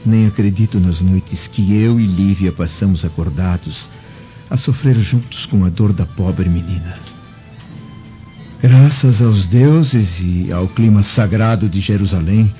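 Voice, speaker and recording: A man's voice: fairly low pitch, warm tone, lightly acted. An actor playing a devoted Roman senator and father in a radio drama, solemn and tender. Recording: dull lo-fi audio with music.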